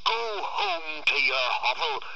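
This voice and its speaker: A man voicing a drunken, belligerent father who taunts in a drawn-out roar, in a storytelling reading. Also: extremely high pitch, wildly swooping pitch, vocal fry, pushed and bright, highs cut off, pitched above the performer's own voice, full character voice. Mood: roaring, taunting. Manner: roaring, taunting, drawn-out delivery.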